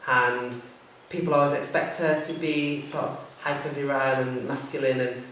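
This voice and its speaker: A man chairing a panel discussion, hesitant, with many pauses and restarts. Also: mid-range voice, flat delivery, a bit bright, slight background noise. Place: theatre hall. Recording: echoey hall from far off.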